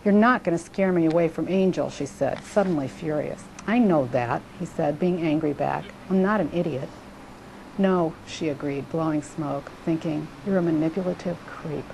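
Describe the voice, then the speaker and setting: A woman's voice: low voice, moderate pace, creaky, darkish tone, a little hiss or hum, poor audio. A woman writer reading literary prose aloud, voicing the dialogue between narrated tags. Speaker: writer reading own work.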